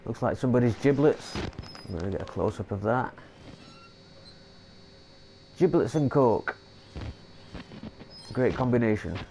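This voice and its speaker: A man with a head cold talks through a horror game in a casual, dry-humoured way. He trips over long technical words while reading in-game notes. His voice sits at an ordinary pitch, with soft noise and game ambience in the background. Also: animated, unhurried, creaky, pauses often, poor audio. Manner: reads in-game notes aloud, stumbling over long words and joking dryly. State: congested with a cold. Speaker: gameplay commentator. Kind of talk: casual let's play commentary.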